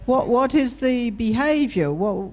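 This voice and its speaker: Female co-facilitator, slow measured pace, step-by-step, prompts the group. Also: a little high, expressive, darkish tone. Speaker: workshop co-facilitator. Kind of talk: interactive workshop teaching. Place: university hall workshop.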